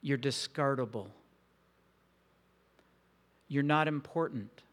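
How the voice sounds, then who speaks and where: A man preaching a sermon in a slow, reflective, intimate way with long pauses. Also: mid-range voice. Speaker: pastor.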